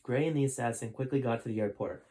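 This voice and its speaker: A man's voice: medium pitch, quick pace, mellow. A man reading a story aloud as its host, in an informal storytime narration style. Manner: informal, stops to correct own character voices. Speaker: author reading own book aloud, host. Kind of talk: storytime audiobook narration with character voices.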